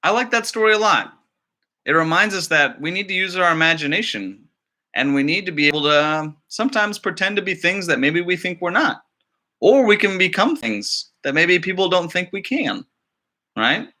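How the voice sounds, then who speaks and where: Animated, bright-voiced man reading to children. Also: sits high, normal speed. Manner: expressive, explanatory. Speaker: librarian, storytime reader. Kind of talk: children's picture book read-aloud with chatty asides.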